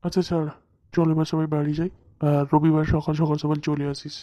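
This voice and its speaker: Easygoing club big brother, played by a man: cartoon, casual peacemaker, dark tone, lo-fi. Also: on the high side, level tone, fast, no room sound, lightly acted. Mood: relaxed. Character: easygoing elder-brother figure of a club of idle friends. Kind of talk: comic Bengali cartoon acting.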